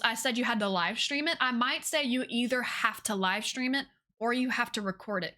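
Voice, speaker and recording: Bright-voiced, gushing woman livestreamer. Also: a little high. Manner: gushing, enthusiastic; informal, says y'all and repeats phrases. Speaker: game livestream host. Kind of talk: casual livestream chat and game tour.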